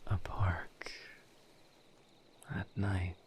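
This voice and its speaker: Casting: male actor as gentle misery demon for audio roleplay; low, breathy whisper, extremely slow and soothing. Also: little pitch movement, a little hiss or hum. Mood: gentle, consoling. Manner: slow, halting, soothing, with long pauses.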